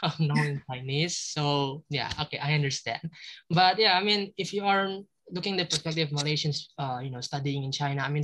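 A man's voice: a little high, bright, forceful tone. Young indonesian-speaking guy on a video call, chatty, lots of "you know", laughs easy. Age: young adult. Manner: friendly, filler-heavy, laughs often. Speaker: student ambassador host. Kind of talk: casual video-call interview.

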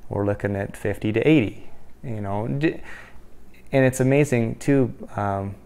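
Gravelly-voiced rancher man. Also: on the low side, a little slow, dark tone. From Wyoming. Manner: earnest, many restarts and fillers. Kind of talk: documentary interview. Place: indoor room.

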